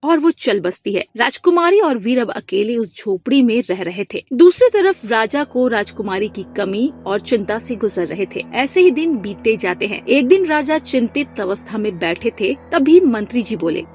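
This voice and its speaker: A woman's voice: on the high side, sing-song, normal speed, hardly any pauses, a little mellow. A woman narrating a scripted cartoon folk tale as a storyteller.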